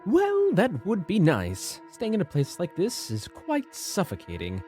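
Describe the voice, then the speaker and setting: A man's voice: high-pitched, huge pitch swings, measured pace, darkish tone, faint music behind, exaggerated voice. A man playing a flamboyant, deranged villain in an audio drama, with a theatrical, airy, mock-courteous manner. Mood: coy, sly. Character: flamboyant, deranged, sly villain feigning friendship.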